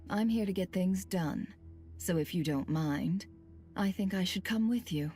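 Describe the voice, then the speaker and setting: Actress as cool shrewd boss lady from a game, lowish voice, dry sardonic, soft music under it. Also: close to an everyday voice. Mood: businesslike. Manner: measured, sardonic. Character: shrewd, cool, wry woman boss and deal-maker. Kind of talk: scripted video game voice acting.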